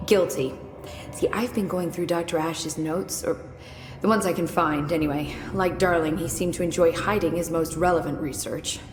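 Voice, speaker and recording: An actress playing a witty, curious young research scientist in a video game, explaining things in a precise, wry, chatty way. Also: female voice, low voice, fairly even intonation, normal speed, faint music behind, lightly acted. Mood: chatty, playful. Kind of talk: video game dialogue acting. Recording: echoey hall reverb with noisy game ambience.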